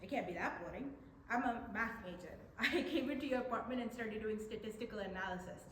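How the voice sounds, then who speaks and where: A woman's voice: on the high side, fairly quick, close to an everyday voice. A young woman playing a friendly, self-deprecating college student in a staged play reading, casual and curious. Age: young adult. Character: female international math student, friendly, self-deprecating newcomer. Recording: echoey room, background noise.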